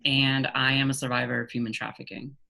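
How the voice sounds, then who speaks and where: A young man from Texas speaking earnestly on a discussion panel, pausing often with fillers. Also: mid-range voice, level tone, creaky, sharp tone, rough recording. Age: young adult.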